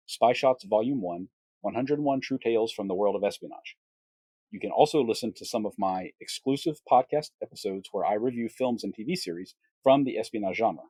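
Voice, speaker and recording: A man's voice: on the low side, mellow, breathy edge, no echo, rough recording. Upbeat male podcast host, dry sound. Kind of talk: podcast interview with a sponsor read.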